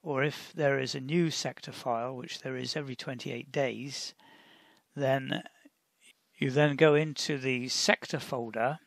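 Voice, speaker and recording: A man narrating a step-by-step software tutorial in a deliberate, instructional way, often pausing mid-sentence. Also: medium pitch, fairly even intonation, creaky, dry recording. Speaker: tutorial presenter.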